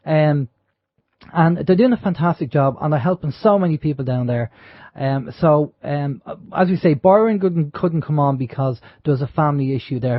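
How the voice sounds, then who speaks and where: A man's voice: fairly high pitch, no room sound. An Irish man hosting an internet radio talk show, chatting casually and rambling with plenty of fillers and restarts. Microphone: studio microphone. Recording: dull, lo-fi internet radio audio.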